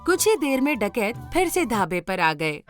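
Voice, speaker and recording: A woman's voice: fairly high pitch, very animated, normal speed, faint music behind. A woman narrating a scripted moral story.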